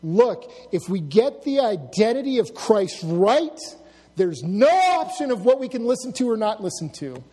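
A middle-aged man preaching with a high-pitched voice, pushing hard on key words and then dropping to a low, hushed intensity. His sermon rings through a church hall with a long reverb tail, and he lightens it with humorous stories. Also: big pitch swings, normal speed, slightly rough. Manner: dramatic shifts between loud emphasis and hushed, low-voiced intensity; storytelling with humor, drawing laughs. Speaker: pastor.

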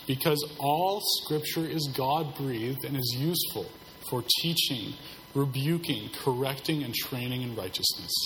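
A hoarse man delivers a sermon as pastor in a measured, subdued way, sparing his strained voice. His voice sits at a middling pitch and carries a long reverb from the hall, with faint noise behind him. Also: fairly bright tone.

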